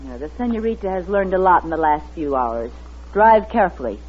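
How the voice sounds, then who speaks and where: A woman's voice: fairly low pitch, big pitch swings, a little fast. A woman acting a tough, wary secretary on the run in an old radio thriller, clipped and determined. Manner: hard-boiled, clipped, determined. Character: tough, wary young secretary turned gun-toting fugitive, narrating. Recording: muffled vintage radio recording with music.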